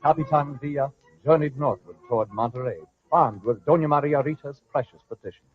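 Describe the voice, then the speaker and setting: A man giving formal, measured scripted narration for an old-time radio drama. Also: mid-range voice, music in the background. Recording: dry, muffled old radio recording.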